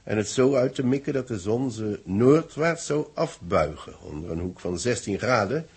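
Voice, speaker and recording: An actor playing an authoritative man dictating the story of a space mission, measured and formal, in a 1960s radio drama. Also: medium pitch, lively intonation, darkish tone, breathy edge. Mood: explanatory. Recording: muffled-voiced old 1960s radio recording.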